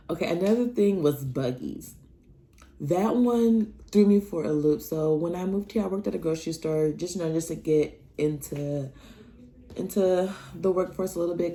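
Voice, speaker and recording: A young woman from Nebraska chats through her stories in a casual vlog. Her voice sits a little low, with a warm, mellow tone. She acts out the conversations with sudden leaps in pitch. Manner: animated, acts out dialogue with big pitch jumps. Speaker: vlogger.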